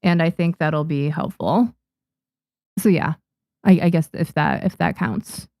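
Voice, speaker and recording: A woman's voice: deep voice, unhurried, gravelly, darkish tone, dry recording, clean studio sound. A young woman, a native Japanese speaker, chatting casually on a live stream, reading and answering viewers' questions with many restarts and fillers. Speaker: streamer and manga artist.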